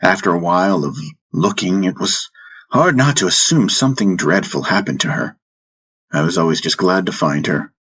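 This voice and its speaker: A man narrating a first-person fantasy audiobook in a measured way, with dramatic pauses. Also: low voice, a bit bright, breathy edge, low-quality recording. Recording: music bed under the voice. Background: background music.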